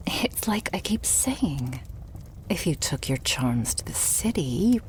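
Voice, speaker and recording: A woman playing a loving, possessive vampire girlfriend in an intimate ASMR roleplay, murmuring softly and breathily. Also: deep voice, big pitch swings, exaggerated voice. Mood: indignant, encouraging. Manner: soft, breathy, slow murmuring that often drops to whispers.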